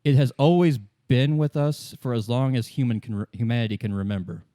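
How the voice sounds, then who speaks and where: A man narrating as game master, explaining fictional lore in a measured, solemn and deliberate storytelling manner. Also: medium pitch, warm tone, no echo, studio quality. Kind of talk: improvised lore exposition in a tabletop game.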